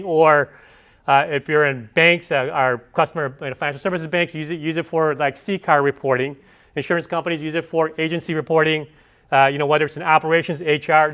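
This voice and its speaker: A man with a Chicago accent giving a fast, filler-heavy conference presentation and asking the audience questions. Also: a little high, a bit bright, clean tone. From Chicago area. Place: conference session room.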